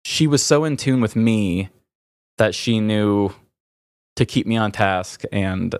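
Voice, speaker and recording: Halting low-voiced man, close and dry. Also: clean tone, dry recording. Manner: halting, searching for words. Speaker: podcast host. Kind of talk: candid, reflective personal conversation.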